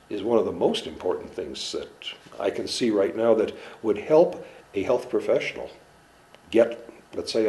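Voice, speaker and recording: A man who treats musicians and dancers, giving a measured, earnest, halting explanation in an interview. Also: lowish voice, darkish tone. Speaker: clinician in performing arts medicine.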